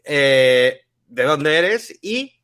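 A man's voice: a little high, lively intonation, drawn-out words, fairly bright tone. Rambling man asking loose questions. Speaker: podcast co-host. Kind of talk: casual interview.

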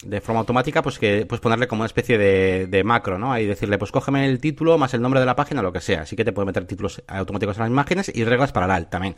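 A man's voice: fairly low pitch, racing, few pauses. A man co-hosting a tech podcast. He runs through a list of points with frequent fillers and check-ins. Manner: enthusiastic, listy, frequent "eh" and "¿vale?". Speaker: podcast co-host. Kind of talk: casual tech podcast chat.

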